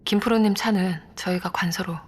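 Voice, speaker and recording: A woman's voice: mid-range voice, fairly even intonation, brisk, a little hiss or hum, close to an everyday voice. A woman acting a composed police inspector in an audio drama, formal and matter-of-fact. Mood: composed, official. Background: background music.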